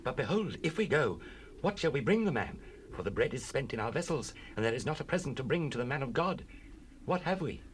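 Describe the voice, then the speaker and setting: A male actor plays a humble young Israelite in a Bible audio drama. His mid-pitched voice is very expressive and earnest, heard on a faintly hissy old cassette. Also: brisk, lightly acted. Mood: worried. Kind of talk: dramatized scripture reading. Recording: hissy 1970s cassette rip.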